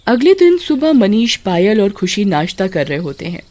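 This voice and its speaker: A woman's voice: medium pitch, very animated, normal speed, darkish tone. A woman narrating a scripted horror story.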